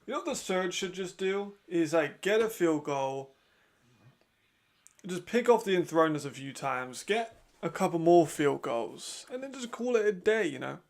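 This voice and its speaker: A man hosting a watch-along, giving casual live commentary with a raspy voice, chatty and laughing often. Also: sits high, expressive, a little slow. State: hay fever.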